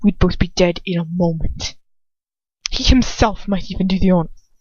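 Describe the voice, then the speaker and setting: A woman voicing a stern, tormented man, guarded and grave, with quiet intensity in a story reading. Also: fairly low pitch, normal speed, breathy edge, dry recording, dull audio. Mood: grave urgency.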